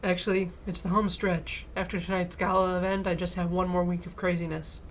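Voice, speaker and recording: A woman's voice: on the low side, level tone, brisk. A woman co-hosting a live game stream, chatting casually and reading the odd line aloud. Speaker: co-streamer voicing lines and commenting on the game. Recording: clearly audible background noise.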